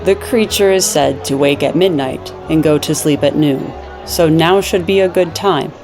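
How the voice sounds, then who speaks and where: A woman playing an older half-elf nun, giving measured, earnest warnings in a fantasy audio drama. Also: low-pitched. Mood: helpful. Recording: music behind the voice.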